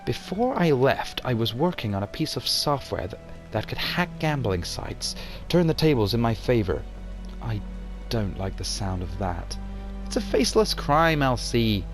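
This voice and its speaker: A man voicing a cocky, jittery young male hacker on the run, talking fast and stumbling over his words. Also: mid-range voice, animated, soft background music, close to an everyday voice. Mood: scheming. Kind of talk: amateur motion comic voice acting.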